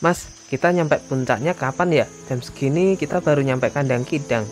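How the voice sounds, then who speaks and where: A man voicing a withdrawn young Indonesian hiker who speaks briefly and casually in an animated horror story. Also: a little high, normal speed, slight background noise, close to an everyday voice. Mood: subdued. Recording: faint music behind the dialogue.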